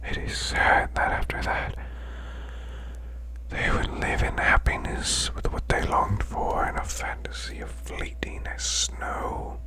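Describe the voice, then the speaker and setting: A man voicing a demon boss in a breathy, growling whisper, reading folklore slowly as soothing bedtime ASMR. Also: lightly acted, rough recording. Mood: hushed, soothing. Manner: slow, hushed, deliberate recitation. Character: male demon butler's boss, growling softly, soothing storyteller.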